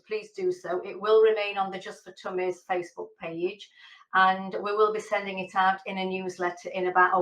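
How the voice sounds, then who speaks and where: An older woman hosting a casual video call interview, friendly and rambling, with frequent laughs. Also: mid-range voice. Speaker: host and company founder. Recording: echoey room.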